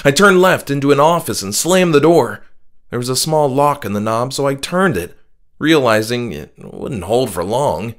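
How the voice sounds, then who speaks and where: A man's voice: medium pitch, lively intonation, normal speed, lightly acted. A man voicing a frightened, injured male friend who speaks in agitated, insistent protest in a horror audiobook. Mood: tense recounting. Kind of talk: horror audiobook character dialogue.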